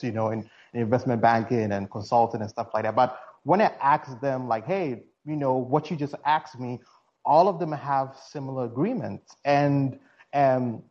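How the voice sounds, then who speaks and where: A man's voice: medium pitch, darkish tone. A man from Ghana with a Ghanaian accent, calling in and chatting casually, rambling with many fillers and restarts. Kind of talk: casual call-in chat. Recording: dull lo-fi line.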